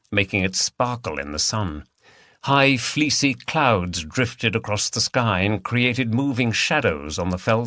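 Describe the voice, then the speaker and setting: A man's voice: fairly low pitch, fairly bright tone, no room sound. Man narrating a mystery audiobook, dry sound. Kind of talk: scripted mystery novel narration.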